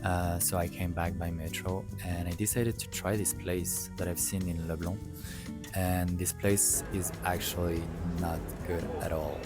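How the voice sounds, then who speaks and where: A man's voice: deep voice, flat delivery, unhurried. A young man with a French accent, talking casually about food in a rambling, chatty vlog full of fillers. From France. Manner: chatty, rambling, with many fillers and self-corrections. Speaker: food vlogger. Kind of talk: casual travel vlog narration. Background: music.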